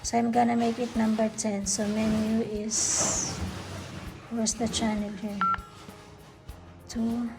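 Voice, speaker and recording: A woman's voice: a little high, flat delivery, slow, vocal fry, long pauses, over music. A Filipino-accented woman, native in Tagalog, giving a casual product demonstration, talking through each step in short fragments. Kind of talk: casual unboxing and testing demonstration.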